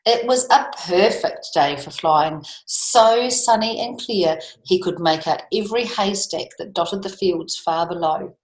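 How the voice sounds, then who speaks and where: A woman reading a picture book to children, expressively, drawing out key words and adding chatty asides. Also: on the low side. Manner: emphatic, drawn-out key words, questions to young listeners. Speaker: children's storytime reader. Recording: echoey room.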